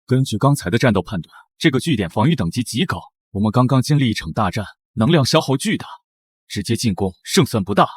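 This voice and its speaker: Brisk, husky imperial marshal. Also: male voice, medium pitch, lively intonation, no room sound, clean studio sound. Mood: analytical. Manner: formal, brisk. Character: analytical, formal imperial marshal, dutiful strategist. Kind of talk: animated drama dubbing.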